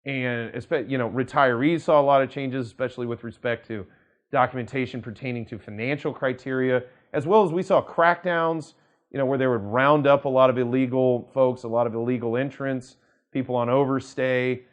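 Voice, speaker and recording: American male legal commentator, average pitch, deliberate and emphatic, explaining and quoting news to camera. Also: fairly even intonation, dull audio. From United States. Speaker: attorney presenting a legal news channel.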